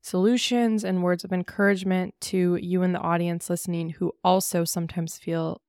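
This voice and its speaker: A woman's voice: fairly low pitch, flat delivery, normal speed, a little mellow, clear, resonant tone, no echo, studio quality. A woman hosting a podcast in a casual, conversational interview style, effusive and encouraging. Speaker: podcast host.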